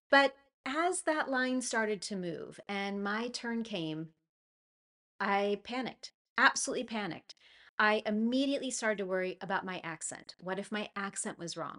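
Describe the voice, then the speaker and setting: A woman teaching English to learners, talking through a clear, encouraging lesson with a personal story. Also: medium pitch, fairly bright tone. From United States. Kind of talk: scripted lesson monologue.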